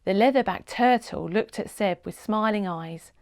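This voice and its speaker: A woman reading a children's storybook aloud as an expressive read-along narrator, with dramatic emphasis. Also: medium pitch, moderate pace.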